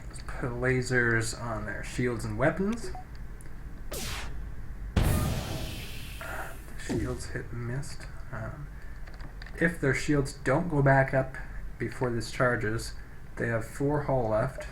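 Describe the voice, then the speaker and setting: Male gaming commentator, mid-pitched, casual and a bit hesitant, talking through his moves over a noisy cheap mic. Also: takes it slowly, pauses often. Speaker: gameplay video host. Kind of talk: casual game commentary, reading in-game text aloud. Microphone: low-quality microphone. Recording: poor noisy microphone.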